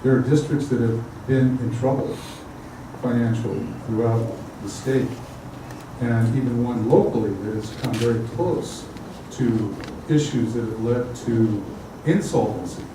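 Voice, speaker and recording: A middle-aged man, a school board candidate, giving a halting forum statement with many fillers. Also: medium pitch. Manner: hesitant, many fillers and restarts. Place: outdoor park event. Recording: echoey, far from mic, noisy.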